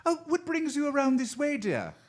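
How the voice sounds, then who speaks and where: A woman playing a genteel male photographer in an old-time radio drama, florid and affected, full of drawn-out endearments. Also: high voice, huge pitch swings. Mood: indulgent, wry. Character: theatrical, genteel male photographer, fond and indulgent advisor.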